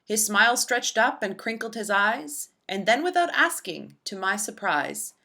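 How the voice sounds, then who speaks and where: A woman narrating a rhyming children's storybook in an expressive, deliberate storytelling manner for young listeners. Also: medium pitch, vocal fry. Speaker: children's book read-aloud narrator.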